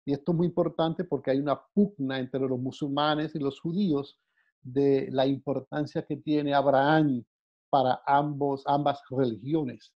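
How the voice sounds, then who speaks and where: A man's voice: medium pitch, steady tone. A man from the Dominican Republic teaching a Bible lesson off the cuff, with frequent hesitations and repeated words. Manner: halting, many "eh" fillers and repeated words. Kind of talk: unscripted Bible class talk. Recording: dull lo-fi sound.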